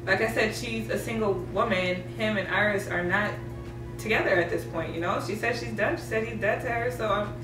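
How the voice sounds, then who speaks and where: A woman's voice: medium pitch, brisk, over music. A woman in a casual group chat, giving her opinions conversationally. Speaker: interviewee from an audio drama cast. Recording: echoey noisy room, distant mic.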